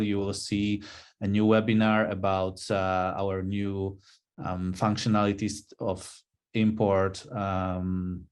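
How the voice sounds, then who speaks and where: A middle-aged man giving explanatory answers on a webinar video call, halting and pausing mid-sentence. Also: on the low side. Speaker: webinar panelist.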